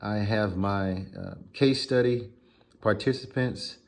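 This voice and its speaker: Low-voiced, hesitant male interviewer. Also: slow, gravelly. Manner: hesitant, many fillers and restarts. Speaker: interviewer, student.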